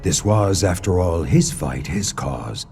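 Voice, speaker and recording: An actor playing a grave male narrator of an ancient Greek tragedy, telling it in solemn, measured scripted storytelling for a game. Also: deep voice, animated, a little mellow, heavily acted. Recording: music bed behind the voice.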